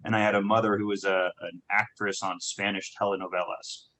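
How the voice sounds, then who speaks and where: A man with a low, bright and forceful voice tells his story to a group. He talks in a halting way, often repeating words and restarting his sentences. He grew up in Southern California. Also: level tone, a little hiss or hum. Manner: halting, many restarts and fillers. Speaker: startup founder. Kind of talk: conversational talk on a group call.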